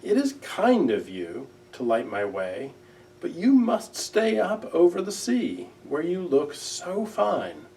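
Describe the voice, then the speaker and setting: Man voicing a sorrowful, gentle storybook owl, distant mic. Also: on the high side, wildly swooping pitch, voice pushed up, heavily acted. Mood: earnest, kindly. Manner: emotive, drawn-out, earnest delivery. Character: sorrowful, gentle, simple-hearted male owl. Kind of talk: children's storybook character voice.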